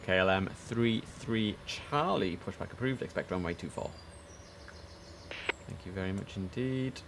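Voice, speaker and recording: Low-voiced man streaming, noise behind. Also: animated, moderate pace, gravelly. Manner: talks to himself between formal calls. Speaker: streamer playing air traffic controller. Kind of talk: livestream game commentary with clipped radio instructions.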